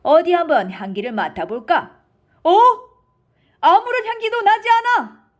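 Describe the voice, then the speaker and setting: A woman voicing an excitable, deferential royal courtier in a children's storybook, with exclamatory, archaic courtly speech. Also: extremely high pitch, a little slow, voice pushed up. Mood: curious, astonished.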